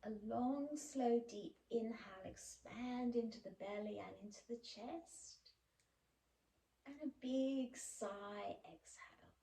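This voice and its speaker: A woman's voice: on the high side, dark tone. Echoey, distant, soothing woman yoga teacher. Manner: slow, soothing, with pauses and breathy sighs. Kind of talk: guided yoga relaxation instruction. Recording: echoey room, distant mic, faint background noise.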